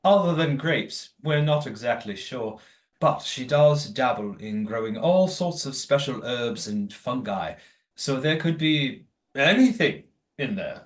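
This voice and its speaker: Actor as droll manor gentleman, lively intonation. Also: mid-range voice, unhurried, lightly acted. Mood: dismissive, reassuring. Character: tipsy, genteel male host of a manor. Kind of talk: audio play acting.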